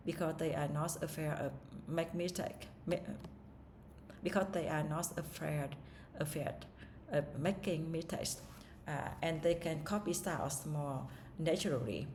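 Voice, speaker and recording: Hesitant low-voiced woman practicing English. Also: a little slow, darkish tone, slight background noise. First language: Vietnamese. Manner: hesitant, with restarts and fillers. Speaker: English learner practicing speaking test. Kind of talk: reading questions and answering them aloud.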